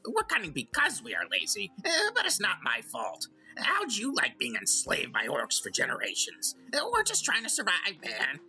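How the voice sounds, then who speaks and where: Sly creaky goblin host, wildly swooping. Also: mid-range voice, sharp tone, heavily acted, rough recording. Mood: sly, defiant. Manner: smirking, self-justifying, rambling with drawn hesitations. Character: sly, crude, shameless male goblin talk-show host. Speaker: radio call-in host. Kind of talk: comedic in-character monologue and banter.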